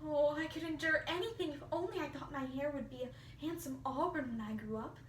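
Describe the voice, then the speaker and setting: A woman's voice: high-pitched, brisk, close to an everyday voice. A woman voicing an effusive, earnest young orphan girl in a gushing, melodramatic story reading. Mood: elated, gushing. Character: dramatic, imaginative red-haired orphan girl, effusive and earnest. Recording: roomy, distant mic, faint hiss.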